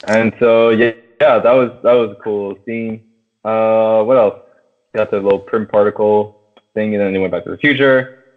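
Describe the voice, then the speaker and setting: A man's voice: fairly low pitch, steady tone, normal speed. A man chatting casually and enthusiastically about movies as a podcast co-host, swearing freely. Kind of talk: casual movie chat with friends. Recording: thin phone-like, radio-like sound.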